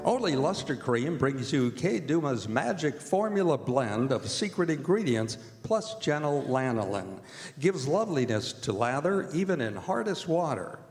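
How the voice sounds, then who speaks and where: In a reverberant hall with music behind, a male announcer delivers old-time radio copy. His voice sits at a medium pitch and projects as a stage presentation. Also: sing-song, moderate pace, darkish tone. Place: performing arts center stage.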